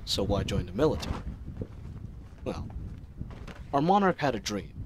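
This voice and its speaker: A man's voice: on the low side, very animated, moderate pace. Man acting an earnest fantasy knight captain, ambient noise. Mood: wistful, earnest. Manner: reflective, rambling, earnest, playfully indignant. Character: earnest, chatty young male knight captain, idealistic and teasing. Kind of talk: fantasy audio roleplay drama monologue. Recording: noisy ambient sound effects bed. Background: added outdoor ambience sound effects.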